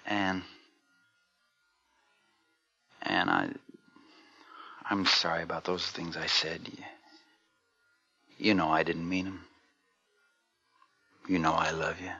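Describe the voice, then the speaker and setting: A man's voice: on the low side, monotone, racing, few pauses, fairly bright tone, soft background music, lightly acted. An actor playing an irritable, accusing young husband and father who is easily wounded, in a naturalistic domestic drama. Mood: quiet, contrite. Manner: testy, accusing. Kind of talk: naturalistic dramatic acting of a marital quarrel. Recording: noisy, dull lo-fi old recording.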